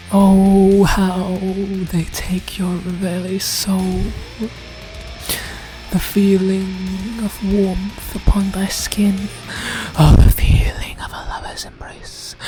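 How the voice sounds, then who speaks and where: A theatrical narrator delivers grim fantasy lore at a very slow, deliberate pace. The delivery is grandiose and ominous, often dropping toward a hushed whisper. Music plays underneath the voice. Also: mid-range voice, rough recording. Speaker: narrator of a fantasy audio drama. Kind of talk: dramatic scripted lore narration.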